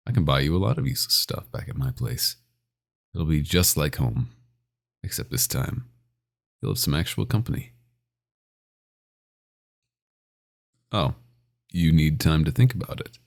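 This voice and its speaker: A man's voice: very animated, moderate pace, full character voice. A man playing a possessive, domineering vampire doctor in a scripted ASMR roleplay, speaking in an intimate, condescending and menacing way. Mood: smug, coaxing. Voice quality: very deep, gravelly, often breathy.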